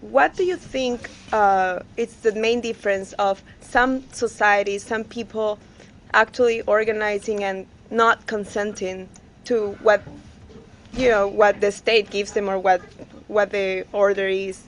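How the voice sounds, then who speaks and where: A woman from Peru, a student asking a question haltingly as she searches for words. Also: mid-range voice, fairly even intonation, a little hiss or hum, rough recording.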